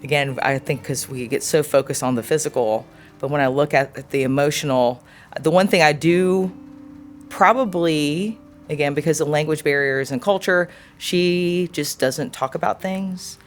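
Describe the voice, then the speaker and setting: A woman in her forties answering an interview question in a thoughtful, earnest way, often pausing to search for words. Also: low-pitched, a little hiss or hum. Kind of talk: reflective personal interview answer.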